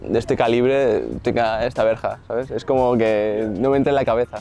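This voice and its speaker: A man's voice: mid-range voice, fairly even intonation, moderate pace, gravelly, mellow, faint music behind. A young man with an accent from Spain, chatting casually as a vlog host outdoors. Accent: Spanish from Spain. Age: young adult. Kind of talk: casual vlog narration and chat. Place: outdoors on residential and city streets.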